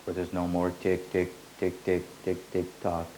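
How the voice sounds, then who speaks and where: A man giving a slow, meditative spiritual teaching, repeating his phrases in an incantatory way. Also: low voice, completely flat delivery, mellow, a little hiss or hum, rough recording.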